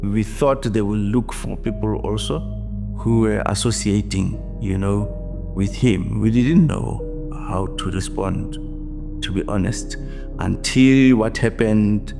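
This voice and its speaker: A South African man in his sixties, reflecting slowly on his past in an interview. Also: lowish voice, vocal fry, over music. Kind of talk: recounting personal history.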